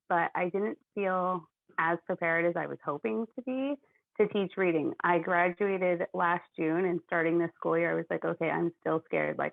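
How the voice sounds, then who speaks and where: A young American woman, a first grade teacher, speaking earnestly and chattily on a video call, with an ear infection that makes her talk loudly. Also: lowish voice, vocal fry, dry recording. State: has an ear infection, may sound loud. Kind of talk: online panel talk. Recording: dry, phone-like video call audio.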